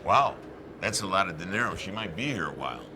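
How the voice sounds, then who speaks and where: A man's voice: low-pitched, animated, fast talker, background noise. A man playing an easygoing but frazzled casino boss in a scripted comedy. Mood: breezy.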